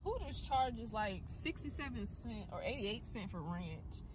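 A woman's voice: on the high side, lively intonation, unhurried, loud music behind the voice, rough recording. A woman vlogger from Virginia telling a funny story in her car at night, laughing as she talks, recorded on a phone. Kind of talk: casual storytelling rant.

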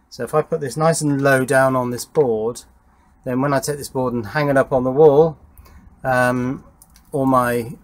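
A middle-aged man narrating hands-on electronics work casually, thinking aloud as he goes. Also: mid-range voice. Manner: informal, thinking aloud while working. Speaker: electronics hobbyist presenting his workshop project. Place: small wooden garden shed workshop.

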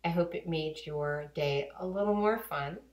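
A woman in her forties reading a children's storybook aloud in an expressive, deliberate storytelling manner. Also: low-pitched. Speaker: guest reader. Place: home kitchen and dining room indoors. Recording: roomy home kitchen echo.

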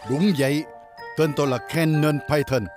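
A man voicing a solemn veteran robot warrior in a cartoon dub, telling things in a measured, formal way. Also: fairly high pitch, steady tone, over music, lightly acted. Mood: solemn, nostalgic. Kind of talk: Vietnamese cartoon dubbing.

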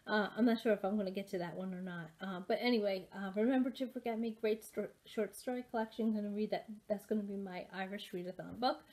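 A woman's voice: medium pitch, fairly even intonation, fast, hardly any pauses, darkish tone. Casting: woman, 40s, casual book vlogger, chatty and rambling, full of ums, webcam at home. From United States. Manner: rambling, many fillers and restarts. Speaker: booktuber. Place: home study with bookshelves, indoors. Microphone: webcam at desk.

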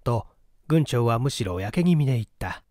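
A man's voice: medium pitch, animated, moderate pace, darkish tone, no room sound, clean studio sound. A man reading a mystery novel aloud as dramatic literary narration. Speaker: audiobook reader.

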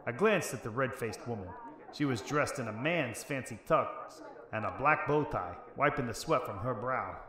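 A man playing a gruff, wry middle-aged private investigator in a noir radio drama. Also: medium pitch, animated, normal speed, crowd noise, lightly acted. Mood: wry narration. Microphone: close studio microphone, headset worn.

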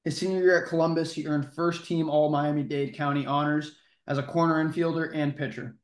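Higher-voiced male podcast host in an echoey room. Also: flat delivery, brisk. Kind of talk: podcast hosting, read intro then questions.

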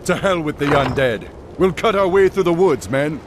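An actor playing a bold, loyal army captain in a fantasy game, blunt and soldierly. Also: male voice, on the high side, animated, normal speed, background noise, lightly acted. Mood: defiant. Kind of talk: fantasy video game cutscene acting.

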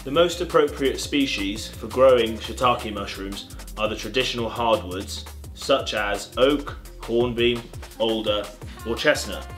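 A man's voice: lowish voice, measured pace, fairly bright tone, soft background music. A young British man presenting an upbeat step-by-step tutorial outdoors in a garden. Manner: upbeat, clear instructional delivery.

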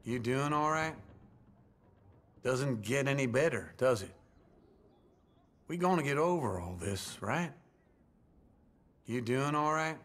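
An actor playing a folksy, cranky old layabout cowboy with an American Southern accent in a video game. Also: male voice, mid-range voice, animated, takes it slowly, pauses often, a bit bright. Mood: weary. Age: older. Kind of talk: video game character greetings.

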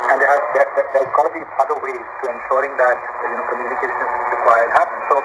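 A man speaking haltingly as a guest in an unscripted radio discussion, heard over shortwave. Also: medium pitch, little pitch movement. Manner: halting, repeats "you know". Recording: very noisy shortwave radio reception.

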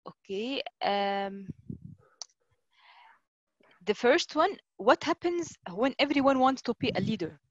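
A woman moderating an online question session, talking in a hesitant, halting way. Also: a little high, expressive, no room sound. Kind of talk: hosting an audience question session. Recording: dull, lo-fi online call.

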